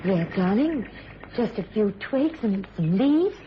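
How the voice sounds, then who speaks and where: A devoted young wife in a radio thriller, affectionate and theatrical, with very lively intonation. The sound is muffled, with a faint layer of noise. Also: female voice, mid-range voice, a little slow. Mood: soothing, affectionate.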